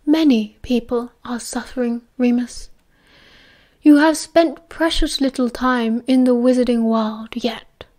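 A woman voicing an old male headmaster wizard, calm and measured, speaking slowly and softly, often near a whisper. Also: on the high side, lightly acted. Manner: slow, soft, half-whispered delivery.

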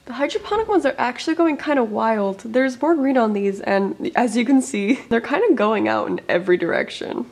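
A young woman talking casually as a vlogger, chatty, animated and jokey about herself. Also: a little high, a little fast, few pauses. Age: young adult. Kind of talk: casual vlog narration, self-deprecating.

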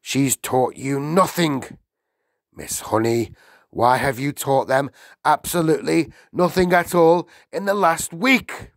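A man voicing an enormous tyrannical headmistress in a storybook, with a booming, barking delivery, menacing and full of contempt. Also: slow pace, vocal fry, no echo, clean studio sound. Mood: triumphant scorn. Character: enormous tyrannical headmistress, a bellowing, insulting bully woman.

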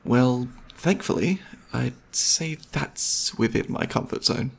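A man playing a caring, goofy, slightly nervous boyfriend in an ASMR roleplay, chatting intimately and hesitantly to the listener with a husky, slightly gravelly voice. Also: mid-range voice, slow, heavily acted. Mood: earnest, hesitant. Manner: hesitant, rambling, playful.

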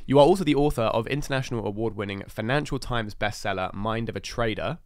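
Fast-talking young male podcast host. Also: fairly low pitch. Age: young adult. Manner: upbeat, enthusiastic, playful banter. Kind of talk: podcast host introduction and interview. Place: podcast studio. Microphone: desk mic on a boom arm.

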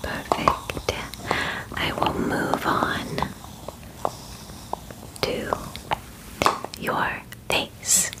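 A young woman plays a calm alien examiner in an ASMR roleplay. The voice is a soft whisper, delivered extremely slowly and deliberately. The wording is formal and clinical, with a soothing, reassuring manner, right up close to the mic. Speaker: ASMR performer. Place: small bedroom, indoors. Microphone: close microphone.

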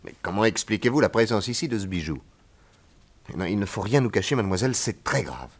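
An actor playing a shrewd, calm male detective who questions witnesses in a measured, probing way, in an old radio drama. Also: lowish voice.

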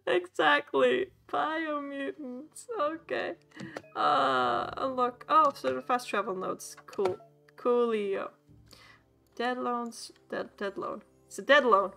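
A woman's voice: high voice, slow, creaky, fairly bright tone, soft background music. A woman from Sweden with a mild Swedish accent, chatting casually and swearing as she streams a game with rambling asides. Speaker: VTuber streamer. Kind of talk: casual gameplay commentary.

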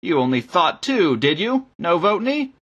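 A man's voice: high voice, huge pitch swings, measured pace, bright, forceful tone, breathy edge, dull audio, exaggerated voice. A man voicing an irate, scornful bishop in an audiobook, snarling and barking orders and sarcastic questions. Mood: scornful, furious. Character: irate, bullying male bishop, loud and scornful.

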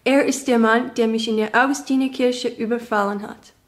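An actress playing a young student witness who testifies with firm certainty and deliberate emphasis in a radio docudrama. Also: female voice, a little high, fairly even intonation, close to an everyday voice. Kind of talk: radio crime docudrama acting.

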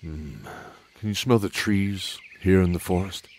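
A man's voice: low-pitched, unhurried, a little mellow, a little hiss or hum. A man playing a kindly, weary old knight who introduces an audio drama in a hesitant, musing way. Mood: warm, musing.

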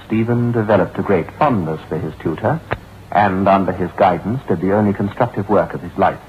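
A grave, formal lawyer voiced by a male actor recounts a grim tale with portentous solemnity. His voice is low. It is an old radio horror drama, slightly dull with faint hiss. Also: brisk, lightly acted. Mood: solemn, ominous. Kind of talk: old-time radio acting, dramatic narration. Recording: dull, hissy vintage radio recording.

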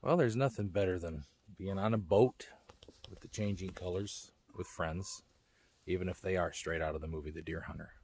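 Low, mellow-voiced man. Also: moderate pace, slight background noise. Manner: relaxed, rambling with many fillers. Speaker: vlogger. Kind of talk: casual vlog narration. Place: outdoors by a lake and in a car. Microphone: phone held at arm's length.

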